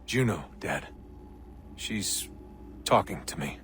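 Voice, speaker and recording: A man's voice: low voice, lively intonation, noisy background. An actor voicing a weary, sardonic young man, a resentful son, in dry naturalistic video game dialogue. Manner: dry, sarcastic, sighing, slow.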